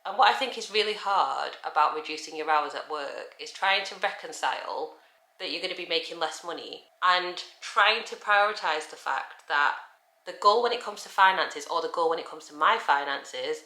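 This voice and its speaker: Woman, late thirties, chatty vlogger, low bright voice, roomy echo. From England. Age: 30s. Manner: chatty and candid, lively shifts in pitch. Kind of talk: casual sit-down vlog. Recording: echoey room.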